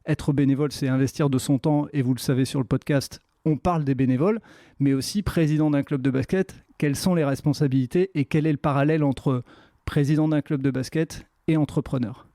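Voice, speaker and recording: A man's voice: fairly high pitch, fairly even intonation, a little fast, dark tone, no echo, clean studio sound. A man hosting a podcast interview, introducing his guest in a friendly way with frequent fillers. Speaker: podcast host.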